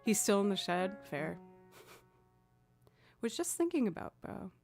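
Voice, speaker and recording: Chatty young woman with a lower voice. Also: big pitch swings, slow pace, gravelly, darkish tone, faint music behind. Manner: chatty, thinking aloud with fillers. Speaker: musician streamer. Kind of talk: casual live-stream chat.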